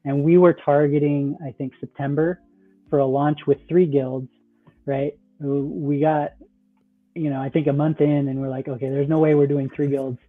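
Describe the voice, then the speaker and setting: Thin phone-like call audio, man, slightly high voice, interview guest, casual, loose explanatory talk. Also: level tone, moderate pace, faint music behind. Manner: loose, explanatory, peppered with "like" and "you know". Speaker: startup founder, interview guest. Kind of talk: casual conversational interview answers.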